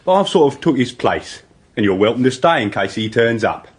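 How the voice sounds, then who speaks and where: An actor playing a sly pool-hall thug with a London accent and an offhand menace, in a radio drama. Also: male voice, medium pitch, very animated, brisk, husky. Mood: casual, wary.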